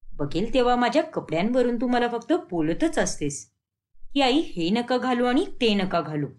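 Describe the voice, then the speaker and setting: A woman plays a modern middle-aged mother-in-law from a cartoon moral story. She is vain and boastful, quick to argue, and her pitch swings freely. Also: big pitch swings, a little mellow. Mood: indignant. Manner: boastful, argumentative.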